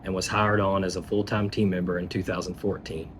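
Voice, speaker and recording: A Kentucky man in his thirties speaks in a very deep, flat voice. His delivery is steady and measured, like a prepared testimonial. A faint factory hum runs underneath. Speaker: safety manager. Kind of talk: scripted testimonial. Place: manufacturing plant.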